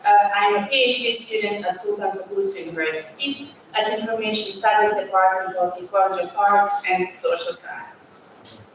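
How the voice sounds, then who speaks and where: A woman with a Bosnian accent and mid-pitched voice presents research formally, as a PhD student would at a conference. The auditorium is very echoey, and she sounds some way from the mic. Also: normal speed. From Bosnia and Herzegovina. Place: large auditorium. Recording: very echoey hall, distant mic, faint noise.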